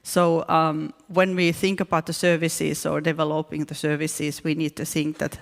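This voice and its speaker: Finnish woman with a flat, gravelly voice. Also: deep voice, moderate pace. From Finland. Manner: hesitant, with frequent restarts and fillers. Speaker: keynote speaker. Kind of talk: conference presentation. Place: conference hall. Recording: reverberant conference hall.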